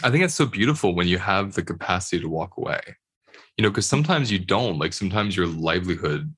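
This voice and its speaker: A man's voice: low-pitched, sing-song, quick pace, fairly bright tone, husky. A man speaking earnestly and expressively in a casual, heartfelt discussion that he leads. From Puerto Rican family background.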